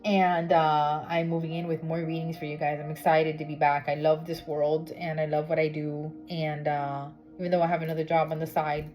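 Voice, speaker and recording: Low-voiced tarot reader woman over music. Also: a little mellow. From Miami, United States. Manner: chatty, with many fillers and "okay?" check-ins. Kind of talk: casual spiritual reading vlog. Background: background music.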